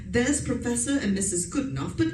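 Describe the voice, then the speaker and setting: A woman's voice: on the high side, animated, brisk, a little mellow. A woman acting an imperious, nagging society wife in a radio suspense play, scolding and sure of herself. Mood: bossy, suspicious. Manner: bossy, scolding, haughty. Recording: echoey room, distant mic, noisy background.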